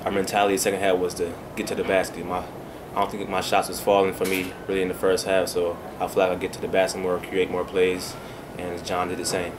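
A man's voice: low voice, monotone, quick pace, noisy background. A young man, a senior college player, answering postgame questions briefly and modestly. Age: young adult. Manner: brief, modest, few words. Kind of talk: postgame press conference answers. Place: small press room, indoors.

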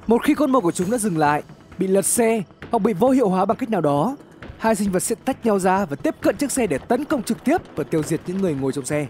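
A man reading scripted narration for an animated horror explainer, telling it in a measured, dramatic way. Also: sits high.

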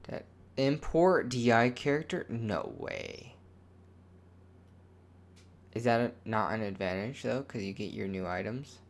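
A man's voice: mid-range voice, unhurried, gravelly, pauses often. A man hosting a gaming video with casual commentary, swearing and muttering to himself as he plays. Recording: music behind the voice. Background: loud game music.

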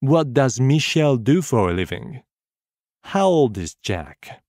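A man's voice: mid-range voice, big pitch swings, a little slow, darkish tone, no echo, studio quality. A man narrating a scripted bilingual audiobook lesson as a teacher, addressing the listener in an encouraging way.

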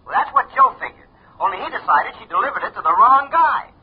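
Eager american reporter, highish voice swinging all over, old radio mystery, dull recording. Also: male voice, quick pace, husky, full character voice. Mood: eager, smitten. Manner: eager, animated. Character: eager, excitable male reporter, smitten best friend. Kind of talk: 1950s radio drama acting. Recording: muffled old radio, faint noise.

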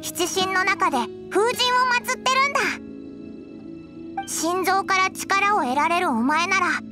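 A woman's voice: very high-pitched, a little slow. A woman voicing a small, cheerful floating fairy guide in a Japanese anime game, with a childlike voice and boyish wording. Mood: explaining, proud. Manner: rough boyish wording in a cute voice. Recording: music under the voice.

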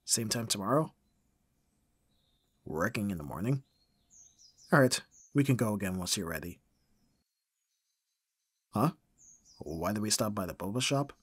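A man's voice: fairly low pitch, animated, a little slow, long pauses, fairly bright tone, slightly rough, dry recording, exaggerated voice. A man playing a caring but easily exasperated young roommate in an ASMR roleplay audio drama, casual and dryly bantering. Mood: easygoing.

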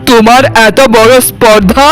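Guy doing angry bossy cartoon husband, really high male voice, scolding and accusing, music behind. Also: fairly even intonation, normal speed, a bit bright. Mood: furious, scolding. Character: furious, domineering husband and protective brother. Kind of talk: cartoon melodrama dialogue. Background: background music.

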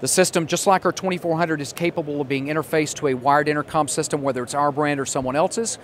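A man's voice: fairly high pitch, steady tone, fast. An American man in his fifties giving a product demonstration as a sales manager. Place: large indoor trade show hall, at a booth. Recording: clear trade show floor noise. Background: busy exhibition hall ambience.

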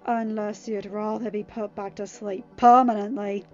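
A woman's voice: fairly high pitch, moderate pace, muffled recording, faint music behind, natural voice. Woman acting a fierce, loyal young male pirate. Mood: snarling, protective. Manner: growling, threatening.

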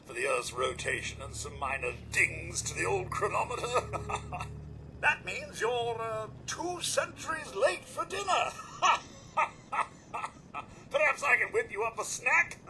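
A prim British robot butler from a video game. The voice is very high and bright, swooping through formal, fretful phrases in an unhurried, theatrical way. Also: male voice, lively intonation, a little hiss or hum, full character voice, rough recording. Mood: nervously cheerful. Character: polite, fussy, anxious English robot butler. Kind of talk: video game character acting.

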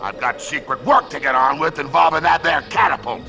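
An actor playing a cranky, bossy logging supervisor in a cartoon video game, irascible and given to shouting. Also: male voice, fairly high pitch, a little fast, gravelly, faint music behind. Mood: irritated, bossy.

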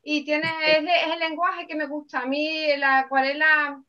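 A high, bright-voiced woman from Andalusia chats on a video call, flustered and full of praise. The call audio sounds dull and lo-fi. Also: flat delivery, normal speed. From Andalusia, Spain. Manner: flustered, effusive. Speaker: student on class call. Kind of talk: casual chat.

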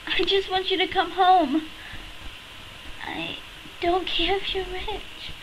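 A woman acts a tender, frightened little sister with a very high voice. She pleads slowly and emotionally in a drama audition. The mic buzzes and crackles clearly. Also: fairly even intonation. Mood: tender pleading. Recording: crackly, buzzing built-in computer microphone.

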